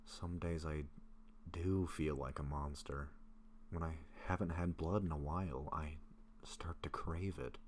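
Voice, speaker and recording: A man performs a gentle, self-doubting male vampire confiding in his best friend in an audio drama. His voice is extremely deep and he talks slowly and haltingly, with soft affection. A faint noise sits behind the recording. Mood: troubled. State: sick, occasional coughing. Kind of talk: intimate roleplay audio drama. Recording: faint steady background noise.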